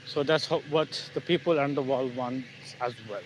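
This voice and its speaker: A Bangladeshi-accented man speaks at a middling pitch, halting and full of fillers as he walks outside. His voice comes through a phone mic with faint traffic hum behind. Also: fairly even intonation, poor audio. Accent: Bangladeshi English. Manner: halting, many fillers and restarts. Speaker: channel host, English learner. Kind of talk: casual live-stream chat. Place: outdoors on a street in the evening, walking.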